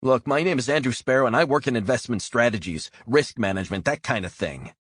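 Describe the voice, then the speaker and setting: A man voicing a terrified captive man who shouts and pleads in a high, strained voice. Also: sing-song, moderate pace, breathy edge, dry recording, exaggerated voice. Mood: pleading. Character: panicked captive man, defiant then terrified.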